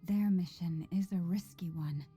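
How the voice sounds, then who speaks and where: A woman playing a soft-spoken, earnest, caring young woman in a video game, gentle and a little hesitant. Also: on the low side, unhurried, breathy edge, soft background music. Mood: worried. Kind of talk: video game character acting.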